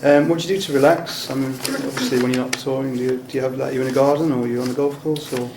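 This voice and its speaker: A man's voice: mid-range voice, fairly even intonation, fast talker. A man asking casual, loosely phrased interview questions in a hesitant way. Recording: echoey room, clear background noise.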